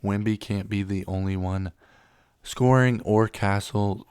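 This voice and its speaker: A man's voice: lowish voice, fairly even intonation, unhurried, darkish tone, clean tone, no echo, studio quality. A young man getting over a cold, casually running through sports betting picks and reading stats off the screen with a few ums.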